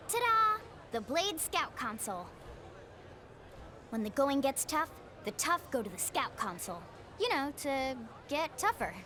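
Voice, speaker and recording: A woman's voice: sits high, very animated, unhurried, sharp tone, soft background music, heavily acted. An actress playing a perky, eager young woman guiding a tour in a video game, with a bubbly, playful, pushy manner. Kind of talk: video game cutscene acting.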